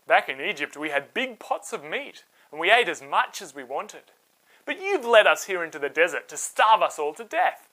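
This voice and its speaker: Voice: medium pitch, sing-song, normal speed, pushed and bright, poor audio. A storyteller voicing aggrieved, hungry desert travellers who complain dramatically in a children's Bible story. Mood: whining complaint.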